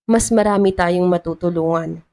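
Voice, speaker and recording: A woman's voice: lowish voice, moderate pace, a little mellow, close to an everyday voice. A woman storyteller voicing a kind, polite and earnest male town mayor in a romance story. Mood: hopeful.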